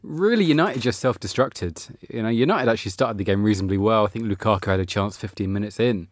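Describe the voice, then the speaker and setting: Low-voiced, matter-of-fact football pundit. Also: male voice, fast, no room sound. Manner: matter-of-fact, dry understatement. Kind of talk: podcast analysis.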